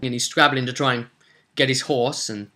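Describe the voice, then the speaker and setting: A man's voice: medium pitch, steady tone, normal speed, sharp tone. A man, casually recounting a tabletop roleplay session as game master in a rambling, colloquial way.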